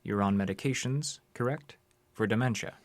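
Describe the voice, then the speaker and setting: An actor playing a patient but persistent male interrogator in an audio drama, questioning in a formal, measured way. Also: fairly low pitch, no echo, close to an everyday voice. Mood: suspicious, pressing.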